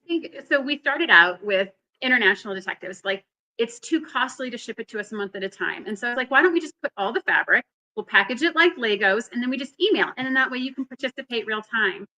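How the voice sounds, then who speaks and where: Bubbly high-voiced woman hosting a livestream. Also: lively intonation, fast, bright, forceful tone, rough recording. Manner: bubbly, effusive. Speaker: host of a live quilting event. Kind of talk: livestream chat.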